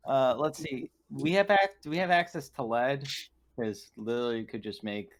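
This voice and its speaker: A man's voice: mid-range voice, normal speed, slight background noise. A man casually thinking aloud as a player in a tabletop roleplaying game.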